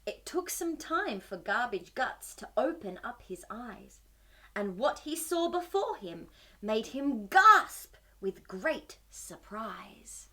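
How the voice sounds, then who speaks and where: Female children's storytime host: very lively pitch swings, unhurried delivery, exaggerated wonder for young listeners. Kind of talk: animated picture-book read-aloud for young children.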